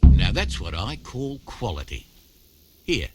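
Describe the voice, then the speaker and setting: A man's voice: fairly low pitch, sing-song, slow pace, very noisy. A man playing a wry, practical medieval tanner in a video game, talking like a conspiratorial tradesman. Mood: satisfied. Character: wry, practical male medieval tanner offering shady hunting jobs. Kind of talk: video game character dialogue.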